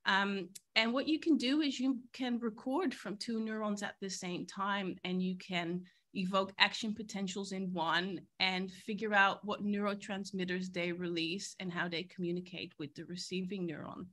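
A woman's voice: mid-range voice, fairly even intonation, moderate pace, hardly any pauses, a bit bright. A woman, giving an earnest scientific keynote over a video call from a university boardroom. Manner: earnest, explanatory, with occasional fillers. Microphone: video call, laptop mic.

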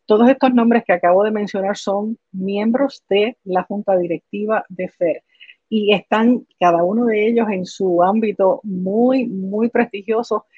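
An older woman with a Puerto Rican accent answers interview questions in an explanatory, halting way. Her voice sits at a medium pitch. The video-call sound is dull and dry, with little room echo. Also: slightly rough. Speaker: interview guest, patient foundation director. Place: living room at home, indoors. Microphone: computer video call.

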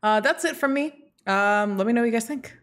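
A young adult woman giving a casual, animated and slangy reaction chat as a game streamer. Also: on the high side, fairly quick. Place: small indoor streaming room.

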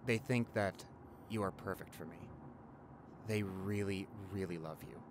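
A man's voice: sits low, a little slow, noisy background. A man playing an affectionate doctor boyfriend in his forties, talking casually and a little hesitantly in an intimate audio roleplay. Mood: earnest, affectionate. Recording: car ride ambience behind the voice.

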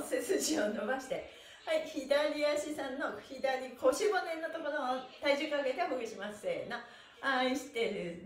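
A middle-aged woman leading a stretching lesson in a cheerful, exclamatory way, alternating instructions with chanted counts. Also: high-pitched. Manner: cheerful, exclamatory, laughing often while moving. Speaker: stretching exercise instructor and mime teacher. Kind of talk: live-streamed exercise lesson with chanted counts. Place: small indoor room with mat and bare wall. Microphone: camera a few metres away. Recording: echoey room, distant microphone.

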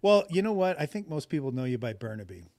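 A man's voice: fairly high pitch, sing-song, fast talker, a little mellow, dry recording, clean studio sound. A middle-aged man hosting a podcast over a video call, chatty and upbeat with frequent laughs, speaking into a headset mic from a small home room. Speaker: podcast host. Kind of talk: casual video-call chat.

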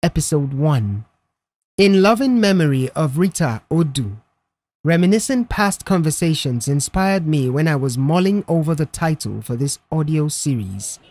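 A male narrator reads scripted fiction. His voice sits a little high and moves with very lively, varied intonation. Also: moderate pace.

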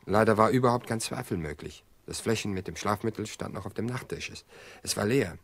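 An actor in a German radio drama playing a worried, protective man, husky-voiced, speaking in a calm, earnest and reassuring way. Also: fairly low pitch, fairly quick, heavily acted. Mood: sober, recounting. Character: worried, protective man soothing a bereaved woman.

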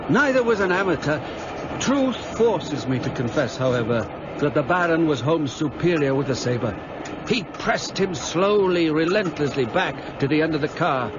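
A man's voice: fairly high pitch, close to an everyday voice. An actor playing a British doctor who narrates his detective friend's adventure in formal, vivid radio drama style. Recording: dull sound, clear background noise.

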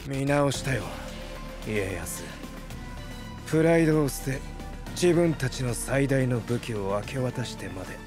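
Man doing a cold mocking assassin, calm, formal, sneering, mid-pitched, voice drama with music. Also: measured pace, long pauses, natural voice. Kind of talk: anime voice drama acting.

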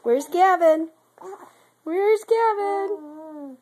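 A young mother, sick and speaking lower than usual, talking to her baby in a playful, sing-song way while filming in the nursery on a handheld camera. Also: drawn-out words, darkish tone. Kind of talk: home video baby talk. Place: baby's nursery, indoors.

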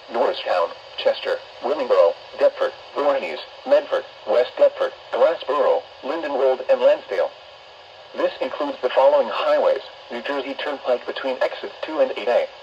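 A synthetic male announcer voice reading an automated weather warning in a formal, measured way, played through a weather radio speaker. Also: medium pitch, darkish tone, muffled recording, a little hiss or hum. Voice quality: synthetic text-to-speech voice. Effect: weather radio broadcast.

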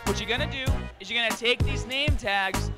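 Upbeat young man hosting over loud music. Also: extremely high pitch, a little fast. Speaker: host. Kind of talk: energetic event hosting. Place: crowded convention hall, indoors. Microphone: handheld mic.

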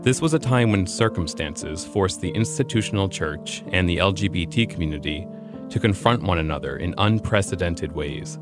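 A low-pitched American man hosts a podcast in scripted narration. Music plays behind his voice. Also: expressive, normal speed. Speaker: podcast host.

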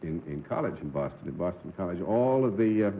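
A man's voice: low-pitched, expressive, fast talker. A middle-aged man from New England, hosting a live panel game show and reminiscing genially with a guest. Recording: dull slightly noisy 1960s TV broadcast.

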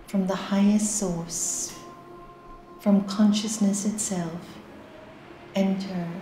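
A woman leading a guided meditation, giving slow, soft, calm instructions with long pauses. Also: mid-range voice, reverberant.

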